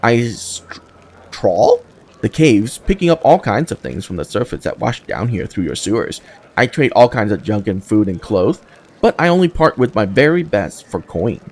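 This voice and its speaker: A man's voice: lowish voice, sing-song, moderate pace, a little mellow. A man voicing a brash, greedy mongrel woman trader from a fantasy game, with blunt hawker's patter. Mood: brash, hard-selling.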